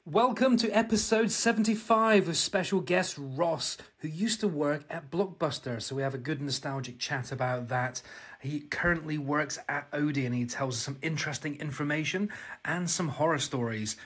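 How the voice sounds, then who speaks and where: A man's voice: a little high, moderate pace, a bit bright, breathy edge. A man from England hosting a podcast, introducing the episode and chatting bluntly and teasingly with friends. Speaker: podcast host. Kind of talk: casual podcast chat.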